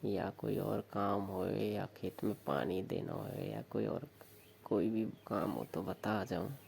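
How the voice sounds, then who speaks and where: A man playing a village lover coaxing his sister-in-law on the phone, with a Rajasthani accent and a slow, suggestive way of talking. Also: fairly low pitch, creaky, a little mellow, slight background noise, heavily acted. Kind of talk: staged phone call, casual flirtatious chat.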